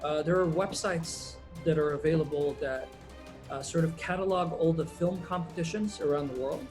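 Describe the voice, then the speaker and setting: A man's voice: fairly high pitch, moderate pace, soft background music. Laid-back man, filmmaker guest. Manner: relaxed, rambling, many ums and uhs. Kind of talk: casual interview answers.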